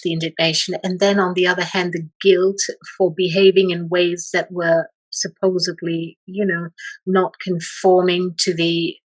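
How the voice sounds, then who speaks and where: A middle-aged woman from Malaysia, a meditation teacher speaking as an interview guest, slow and hesitant with many pauses and restarts. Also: on the low side, no room sound, rough recording. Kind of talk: reflective interview talk.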